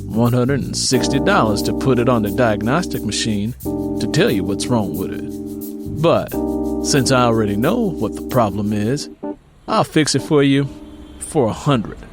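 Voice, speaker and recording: A man's voice: medium pitch, lively intonation, unhurried, loud music behind the voice, close to an everyday voice. A man voicing a streetwise, sly handyman in an audiobook, casual, slangy and persuasive. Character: streetwise, sly male handyman sizing up a deal.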